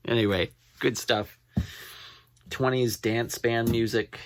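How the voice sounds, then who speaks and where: A man chatting casually as he shows off his finds as a collector, rambling and halting, often repeating what he reads out. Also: medium pitch, flat delivery, dry recording. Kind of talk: casual show-and-tell to camera.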